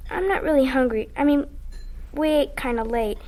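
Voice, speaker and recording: Woman playing a high-voiced, stubborn young girl. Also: normal speed, a little mellow, close to an everyday voice. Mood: tired, subdued. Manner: blunt, flippant, defiant. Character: sulky, stubborn young girl, schoolchild and daughter. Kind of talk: radio drama acting and first-person narration.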